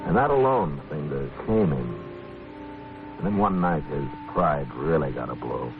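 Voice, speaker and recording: An American man playing a calm, laconic, fair-minded frontier marshal in a 1950s radio western, speaking and narrating in an unhurried way. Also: extremely low pitch, full character voice. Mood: measured, reflective. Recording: tinny old radio recording, music behind.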